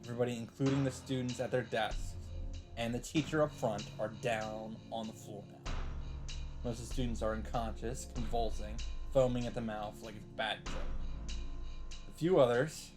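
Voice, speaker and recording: A man's voice: mid-range voice, fairly even intonation, normal speed, music in the background. Man reading a visual novel aloud. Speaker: let's play host.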